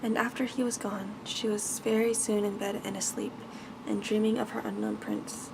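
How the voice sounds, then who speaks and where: A woman's voice: medium pitch, flat delivery, normal speed, creaky, noisy background. A woman reading a bedtime fairy tale aloud as ASMR narration. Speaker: ASMR storyteller.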